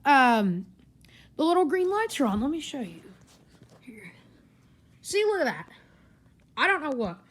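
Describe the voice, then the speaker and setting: A woman speaks in a somewhat high-pitched voice. She gives an informal, hands-on demonstration from her living room. Her delivery is casual and rambles as she goes. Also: very animated, a little slow, pauses often. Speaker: gadget reviewer. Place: carpeted living room indoors. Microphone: handheld camera or phone, speaker off screen.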